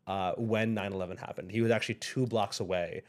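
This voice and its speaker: A young man as a guest on an interview show, speaking thoughtfully, pausing often and restarting his sentences. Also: on the low side, steady tone, darkish tone. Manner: thoughtful, hesitant, many fillers and restarts. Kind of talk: casual interview.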